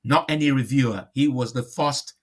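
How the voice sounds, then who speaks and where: A man gives a reflective, conversational interview as the guest. His voice sits at a medium male pitch, and he often repeats a phrase before carrying on, rewording his thought as he goes. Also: normal speed, slightly rough. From born in Liverpool, moved to Nigeria at five.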